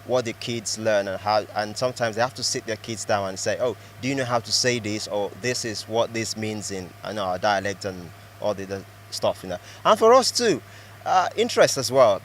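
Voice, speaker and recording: A man's voice: fairly low pitch, a little hiss or hum. Thoughtful man in a street interview. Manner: thoughtful, hesitant with fillers.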